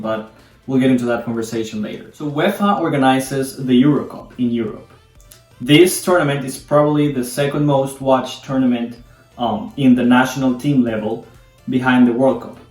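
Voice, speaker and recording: A man's voice: mid-range voice, darkish tone. A man from Colombia, a Spanish speaker, hosting a casual explainer video about a sport. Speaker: video host explaining soccer. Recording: echoey room, distant mic, background music.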